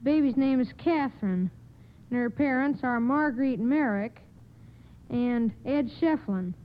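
Very high young American female voice, measured. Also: animated. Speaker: quiz show panelist. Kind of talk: reading a prepared report on radio. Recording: dull vintage 1950 radio recording.

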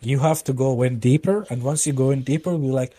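Mellow-voiced guest man chatting. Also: medium pitch, fairly quick, breathy edge, no echo. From Belgium. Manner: relaxed, laughs along, speaks fluent second-language English. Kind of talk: casual podcast chat.

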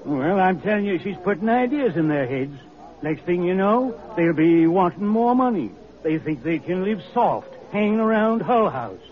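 A man's voice: high voice, animated, moderate pace. An actor playing a hard-hearted, complaining male factory owner, alarmist in manner, in an old radio drama. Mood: indignant. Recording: tinny, narrow old radio recording.

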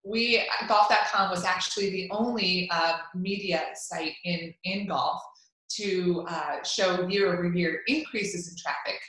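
Bright-voiced woman, echoey room. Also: on the low side, a little slow. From Cuban family. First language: Spanish. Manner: upbeat, chatty, many fillers and restarts. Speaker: golf media executive. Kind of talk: interview answers.

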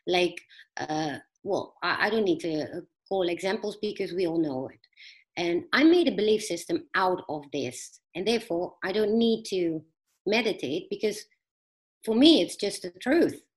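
A middle-aged woman with a fairly low voice talks as a guest on a podcast. She explains things earnestly from her own experience, pausing and feeling for words as she goes. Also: animated. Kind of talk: conversational personal storytelling.

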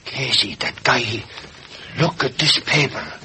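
An actor playing an excitable, blustering tough reporter in a 1940s radio drama, talking in colloquial exclamations. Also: male voice, medium pitch, slow pace, a little hiss or hum, full character voice. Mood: startled. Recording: old-time radio recording.

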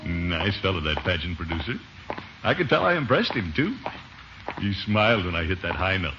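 An actor playing a pompous, vain middle-aged uncle and small-town water commissioner, in 1950s radio comedy style. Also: male voice, deep voice, very animated, normal speed. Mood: smug. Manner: pompous, self-satisfied, theatrical. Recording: dull, noisy old radio recording.

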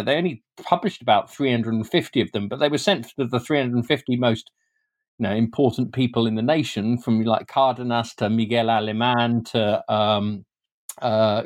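Man, British accent, medium pitch, interviewed historian, informal explanations, hesitant, repeats words mid-sentence. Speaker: guest author and historian.

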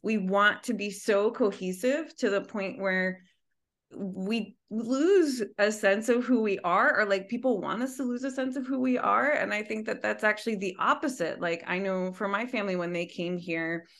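Earnest, mid-pitched woman co-host. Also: moderate pace, fairly bright tone. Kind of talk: podcast conversation.

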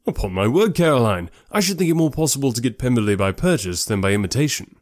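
A man voicing an amiable, cheerful young gentleman in an audiobook, friendly, open and earnest. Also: mid-range voice, sing-song, fast talker, dry recording, studio quality, lightly acted. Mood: good-humoured. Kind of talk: classic novel audiobook character voice.

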